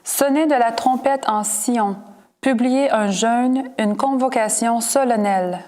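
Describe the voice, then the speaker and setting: A woman's voice: fairly high pitch, takes it slowly, a little mellow. Woman reading scripture aloud. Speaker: congregation member reading scripture. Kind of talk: scripture reading and short answers.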